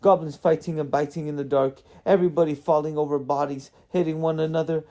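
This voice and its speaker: Storybook dwarf, played by a male reader: grumbling, defensive, blustering, pitched up. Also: flat delivery, fast talker, dark tone, natural voice. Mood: defensive, indignant. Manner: blustering, complaining.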